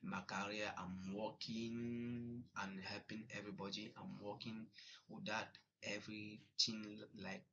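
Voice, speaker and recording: A man's voice: fairly low pitch, little pitch movement, a little slow, vocal fry, sharp tone, lo-fi sound. A young man with a Cameroonian accent reciting a rehearsed student testimonial. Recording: noisy background with clicking.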